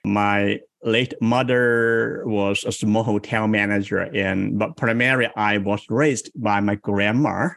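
A man with a Chinese accent speaks at an unhurried, deliberate pace. He is a guest in an interview, thinking aloud as he tells his memories. The sound is close and dry, with little room around it. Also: on the low side, steady tone, vocal fry, a bit bright, no echo. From South China. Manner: slow, thoughtful, reflective storytelling. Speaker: guest, improv coach. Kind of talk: interview conversation.

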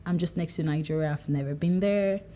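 A young woman from Cameroon speaking in a relaxed, reflective monologue, livening up when she quotes herself. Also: low-pitched, fairly quick, slight background noise. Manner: conversational, animated when quoting herself. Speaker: podcast speaker.

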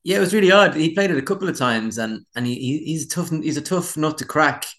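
A man's voice: fairly high pitch, expressive, fast, fairly bright tone. An Irish man, a musician being interviewed, chatting casually in a candid, rambling, self-deprecating way. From Dublin, Ireland. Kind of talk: casual chat.

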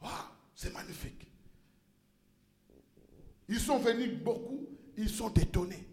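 A male pastor with an unusually high voice preaches with fervor, climbing into shouts and tripping over repeated words. His voice rings around an echoey church room. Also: takes it slowly. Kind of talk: sermon.

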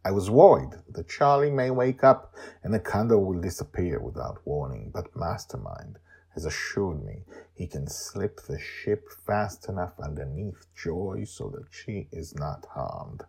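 Deep, husky, animated male storyteller. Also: a little slow. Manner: theatrical, emphatic. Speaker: storyteller and host. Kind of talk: dramatic scripted storytelling with asides to listeners.

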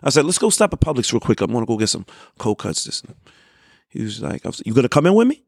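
A man in a casual group chat telling an animated, wisecracking story about his kid. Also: mid-range voice, fast, gravelly, no echo, studio quality. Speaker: guest.

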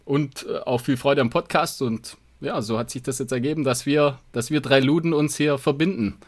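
A man's voice: mid-range voice, moderate pace. A man co-hosting a podcast in casual chat, often hesitating and rephrasing mid-sentence. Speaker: podcast co-host and hobby runner.

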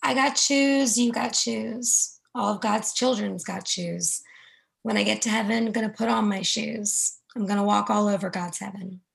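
A woman's voice: medium pitch, steady tone, moderate pace, vocal fry. A woman singing a hopeful spiritual in a staged reading, in a rhythmic sung manner.

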